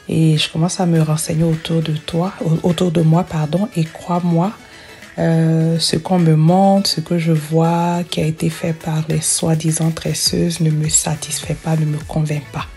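Middle-aged woman from africa, low mellow voice, chatty storytelling, trips over her words a bit. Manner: chatty, repeats words when restarting. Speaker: hair braiding vlogger.

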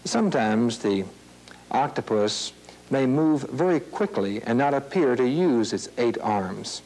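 A man reading scripted nature narration for children in a measured, explanatory, plain-worded way. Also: medium pitch, expressive, darkish tone, rough recording. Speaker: narrator of an educational film series. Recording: hissy, humming old videotape soundtrack.

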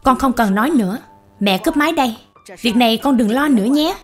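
A woman's voice: fairly high pitch, lively intonation, brisk, faint music behind, natural voice. A woman voicing an insistent, doting older country mother in a moral cartoon, speaking firmly and brushing off objections. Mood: firm, dismissive. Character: doting, insistent elderly rural mother of a businessman. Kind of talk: dubbed moral cartoon dialogue.

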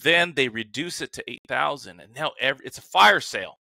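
A man's voice: medium pitch, animated, normal speed, creaky, bright, forceful tone, no room sound. A man co-hosting a podcast over a remote call, telling stories casually and acting out his inner dialogue animatedly. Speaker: podcast co-host. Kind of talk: casual podcast chat, anecdotal storytelling.

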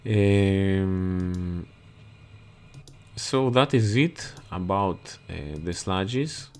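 A man's voice: low voice, extremely slow, clean tone. A man explaining equipment as he walks through a ship's engine room, speaking non-native English in a repetitive way that doubles words for emphasis, recorded on a body-worn camera. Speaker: marine chief engineer giving a ship tour. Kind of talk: explanatory walkthrough video. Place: ship engine room, indoors. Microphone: body-worn action camera.

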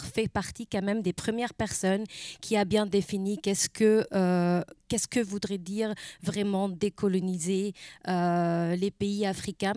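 A woman's voice: mid-range voice, darkish tone, no echo, studio quality. A young woman whose first language is German, speaking as a panelist, searching for words with casual fillers. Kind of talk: panel discussion. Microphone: handheld mic.

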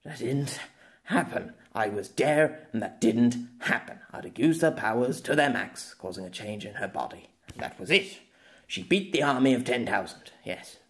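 A man playing a grown man who mutters halting words to himself after a nightmare. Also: medium pitch, lively intonation, close to an everyday voice. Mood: shaken.

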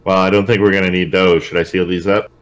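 A man's voice: low-pitched, level tone, quick pace. A man playing a tabletop game, talking casually in short, questioning phrases.

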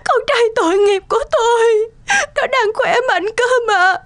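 A woman's voice: very high voice, moderate pace, a bit bright, faint music behind. A woman voicing a distraught, pleading mother in a cartoon. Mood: desperate weeping. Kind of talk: cartoon dubbing.

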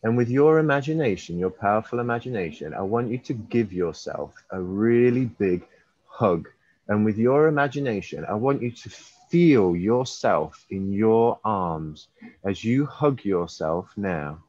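A man leading a guided hypnosis session as a hypnotherapist, using repetitive, drawn-out and emphatic suggestive phrasing. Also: on the low side, animated, darkish tone. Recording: roomy, slightly dull recording.

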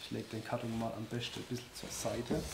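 A man, chatting enthusiastically through an unboxing, his voice slightly hoarse from a cold. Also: medium pitch, monotone, fairly quick. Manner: enthusiastic, chatty, laughs often. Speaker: outdoor and shooting sports vlogger. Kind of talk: casual unboxing vlog. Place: indoor room with a wooden table. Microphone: camera-facing, seated at a table. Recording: echoey room, faint noise.

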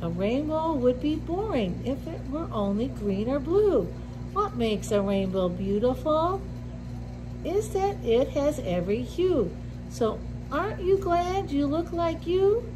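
A middle-aged woman reading a picture book aloud to young children, with deliberate simple phrasing and little asides. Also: high-pitched, very animated, poor audio. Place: indoor classroom with sink and counter.

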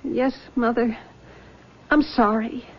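A fragile young nurse haunted after her lover's death, speaking in a high, trembling, tearful voice. It is 1970s radio drama acting, heard through a dull, muffled old broadcast recording. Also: fairly even intonation, slow pace, noisy background. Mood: accusing. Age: young adult.

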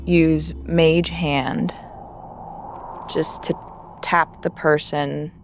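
A woman casually role-playing as a player in a tabletop game, haltingly thinking aloud as she describes her actions. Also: deep voice, flat delivery, music in the background.

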